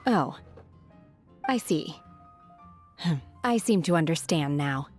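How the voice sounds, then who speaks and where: A solemn young mystic woman, reserved and naively literal. Her voice is on the low side and very slow, with a deadpan game-dialogue delivery over faint music. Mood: pondering. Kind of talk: video game voice acting.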